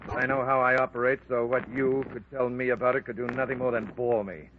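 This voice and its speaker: An actor playing a cold, smug smuggling boss with a clipped, haughty manner, in 1940s radio crime drama style. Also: male voice, mid-range voice, little pitch movement, a little fast, natural voice. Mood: cold, threatening. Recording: dull 1949 radio recording, faint noise.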